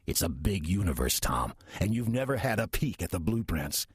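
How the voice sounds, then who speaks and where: An actor playing a weary, sardonic demon posing as a church deacon in an audio drama, dry, urbane and condescending. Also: male voice, very animated, moderate pace, no echo, exaggerated voice. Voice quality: husky, low voice. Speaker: narrator and lead character of audio drama. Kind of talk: audio horror-comedy drama acting.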